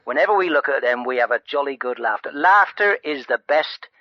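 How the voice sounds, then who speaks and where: A man's voice: mid-range voice, lively intonation, fairly quick, no echo, lightly acted. An actor as a cheerfully oblivious man calling a radio comedy phone-in. He rambles chattily and tactlessly, on a dull, narrow line with no room sound. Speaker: phone-in caller. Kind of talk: spoof radio phone-in call.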